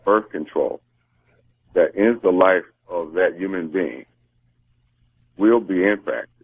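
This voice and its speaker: Deep, husky American man on the phone. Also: no room sound. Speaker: interviewed campaign spokesman. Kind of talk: live interview answers. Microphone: telephone. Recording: muffled, band-limited phone line.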